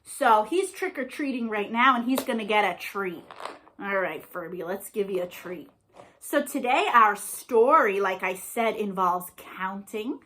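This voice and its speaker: A woman's voice: mid-range voice, lively intonation, unhurried, fairly bright tone. A woman hosting a storytime for young children, reading aloud and chatting in an encouraging, sing-song way with questions and praise. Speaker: children's storytime host and librarian.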